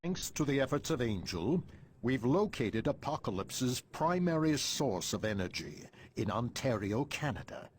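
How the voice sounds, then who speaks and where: An actor playing an earnest, authoritative hero team leader in a video game, briefing formally on a mission. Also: male voice, medium pitch, very animated, measured pace, slight background noise.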